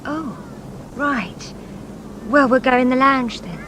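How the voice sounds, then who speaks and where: A woman's voice: high voice, slow, a bit bright, a little hiss or hum, poor audio. A woman playing a tipsy, childlike burglar's wife with bitterness underneath, in a radio crime drama. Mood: tipsy, anxious.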